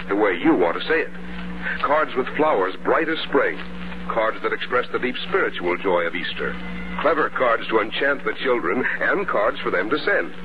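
An American man announcing a sponsor's message in polished, measured 1950s radio style. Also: medium pitch. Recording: muffled, noisy old radio recording.

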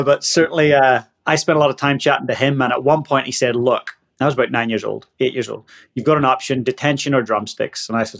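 A man with a Northern Irish accent tells his story in an interview, his pitch sitting mid-range for a man. He is in his thirties, energetic and anecdotal, and he laughs easily between his lines. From Northern Ireland. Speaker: guest, leadership coach.

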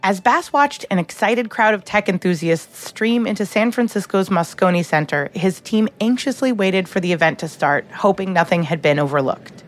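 A woman delivers scripted documentary narration as the host of a podcast. Her voice sits at a middle pitch for a woman, with soft music underneath. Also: normal speed, few pauses.

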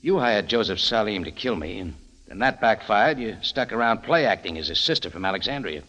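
An actor playing a tough, quick-witted adventurer who narrates his own story in a wry, clipped way, in old radio drama style. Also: on the low side, animated, fairly bright tone, muffled recording. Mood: wry, probing. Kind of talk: 1940s-50s radio drama acting. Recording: old radio recording, reverberant, music behind.

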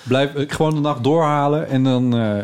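Man, medium pitch, Netherlandic Dutch accent, podcast co-host, casual conversational talk. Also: steady tone, normal speed, darkish tone, smooth. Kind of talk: casual chat.

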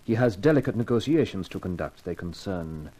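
An actor playing a suave, exacting Arabian sheik with formal, measured, precise speech in a 1950s radio drama. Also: male voice, deep voice, expressive, mellow. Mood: smooth, formal.

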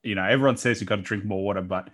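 A man in his late twenties with a deep voice, hosting a podcast in casual chat, energetic and self-deprecating. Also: racing. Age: late 20s. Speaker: podcast host.

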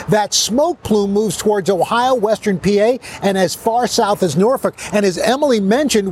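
A high-pitched male forecaster talks loosely through a live weather segment out on an open plaza. He trips over a word now and then and shrugs it off, with faint outdoor sounds around him. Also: a little mellow, slight background noise. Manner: casual, chatty, self-correcting while demonstrating.

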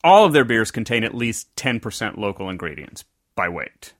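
Low-voiced man chatting on a dry mic. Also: sing-song, normal speed, fairly bright tone, no room sound. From American South. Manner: rambling, self-correcting storyteller. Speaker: podcast co-host. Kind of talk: casual conversational podcast chat.

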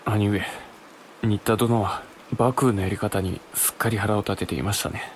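Casting: polite, sober samurai younger brother male actor, slightly low voice, period audio drama. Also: steady tone, normal speed, slight background noise, natural voice. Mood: concerned. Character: serious, deferential younger brother of samurai lord. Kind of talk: Japanese historical audio drama.

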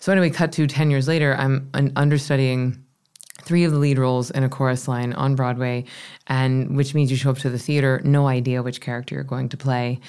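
A woman in her thirties from Florida, a meditation teacher with a low voice, telling her story in an animated, chatty way. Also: brisk, smooth. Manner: animated, chatty, self-deprecating. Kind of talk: casual interview storytelling.

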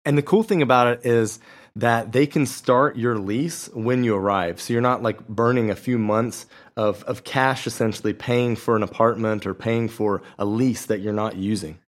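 A man's voice: medium pitch, normal speed. A man co-hosting a podcast in a casual, conversational style. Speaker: podcast co-host. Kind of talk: casual podcast chat and sponsor reads.

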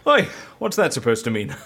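An actor playing an eccentric, curious and gleeful interviewer in an audio comedy drama, with a theatrical, exclamatory manner. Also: male voice, medium pitch, huge pitch swings, moderate pace, sharp tone, breathy edge, exaggerated voice.

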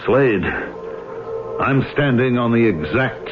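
A man's voice: mid-range voice, sing-song, normal speed, music in the background, lightly acted. An actor playing a tormented, brooding man haunted by a killing, in an intense, theatrical radio drama style. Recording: noisy, tinny old radio broadcast.

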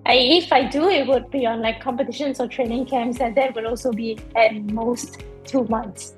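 Young woman, thoughtful and higher-voiced. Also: fairly even intonation, moderate pace, soft background music, poor audio. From Singapore. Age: young adult. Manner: thoughtful, hesitant with fillers. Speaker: Paralympic swimmer, guest. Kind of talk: casual interview answers.

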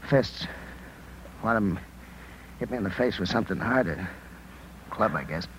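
Shaken, injured male reporter, lowish and faltering, vintage radio play with slight hiss. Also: animated, fairly bright tone. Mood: weak, halting. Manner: halting, weary, broken phrases. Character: battered, shaken young male newspaper reporter. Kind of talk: 1950s radio drama acting. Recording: noisy vintage 1950s radio recording.

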